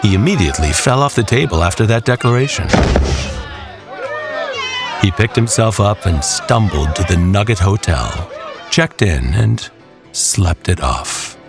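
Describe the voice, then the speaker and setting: A man narrating a scripted horror tale in a dramatic, measured way with pauses. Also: lowish voice, lively intonation, a crowd in the background. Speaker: storyteller. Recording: music behind the voice. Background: clear background music.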